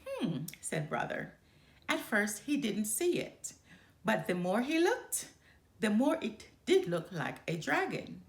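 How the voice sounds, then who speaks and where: A woman reads a children's picture book aloud as its storyteller. Her delivery is expressive and slow, with lively swings in pitch, recorded in a roomy, echoing space. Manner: expressive, deliberate. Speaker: storyteller, channel host.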